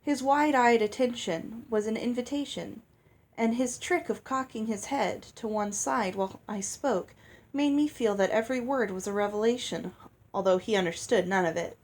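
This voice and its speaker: A woman's voice: a little high, normal speed, a little mellow. A woman reading a novel aloud as narrator, in a storytelling style. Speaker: audiobook reader.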